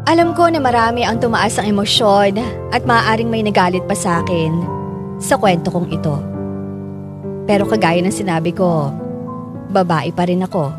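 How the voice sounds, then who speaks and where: A woman's voice: mid-range voice, normal speed, long pauses, lightly acted. A woman playing a conflicted, lovestruck teacher who narrates her confession in a radio drama. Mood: reflective, confessional. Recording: music behind the voice.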